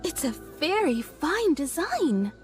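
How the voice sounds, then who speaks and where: Courteous tailor shopkeeper. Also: female voice, very high voice, sing-song, full character voice. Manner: courteous, measured, businesslike. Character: courteous adult female shopkeeper, a businesslike tailor. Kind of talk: game voice acting. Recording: game audio with faint background music.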